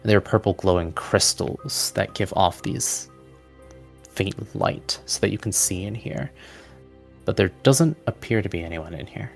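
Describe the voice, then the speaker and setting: A low-pitched man guides a fantasy tabletop game as its game master. He describes things conversationally, with music playing clearly behind him. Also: measured pace.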